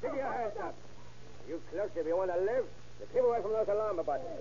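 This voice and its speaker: Gruff slangy gangster boss, fast talker, scratchy old radio recording with hiss. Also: male voice, high-pitched, animated, dull audio. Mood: menacing. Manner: gruff slangy tough-guy talk. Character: tough, bossy male gangster gang leader. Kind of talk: 1930s radio acting.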